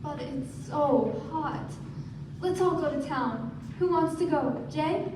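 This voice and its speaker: A woman's voice: high voice, expressive, slow. A woman playing a restless, pampered society wife in a radio play, impulsive and plaintive. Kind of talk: stage radio play acting. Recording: echoey stage from far off, clear noise.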